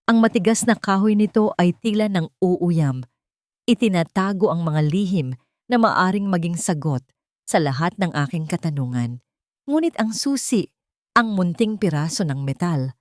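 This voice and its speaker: A woman reading a scripted first-person dramatic story in a measured, literary way. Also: deep voice, darkish tone, no room sound, clean studio sound. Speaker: narrator of a fictional love drama.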